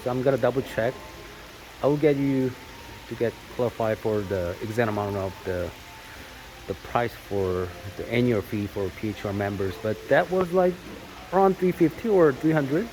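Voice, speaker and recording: A man's voice: mid-range voice, normal speed. A Korean-born man whose first language is Korean, talking casually to camera with lots of fillers and restarts. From South Korea. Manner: rambling, many fillers and self-corrections. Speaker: vlogger. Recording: steady rain noise in background.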